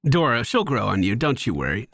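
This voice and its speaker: A man's voice: mid-range voice, sing-song, fairly quick, gravelly, fairly bright tone, no room sound, studio quality. A man streaming a video game with casual, chatty commentary, explaining things with playful slang.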